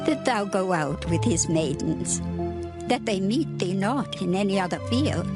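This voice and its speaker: Slow, expressive biblical mother-in-law. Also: mid-range voice, loud music behind the voice. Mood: motherly, advising. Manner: deliberate, motherly, advising. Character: Naomi, caring, guiding older mother-in-law. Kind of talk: dramatized scripture acting, archaic English.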